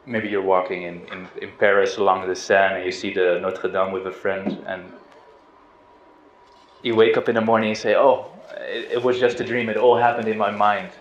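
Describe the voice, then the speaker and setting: A middle-aged man from the Netherlands giving an informal spiritual talk, relaxed and hesitant, with restarts and soft laughs. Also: fairly low pitch, moderate pace. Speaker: spiritual teacher. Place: outdoors on a river boat. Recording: roomy, dull lo-fi sound with faint noise.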